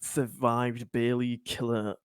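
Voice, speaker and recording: A man improvising as a player in a tabletop roleplaying game, halting and hesitant, often stammering over repeated words. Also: medium pitch, little pitch movement, smooth, dry recording.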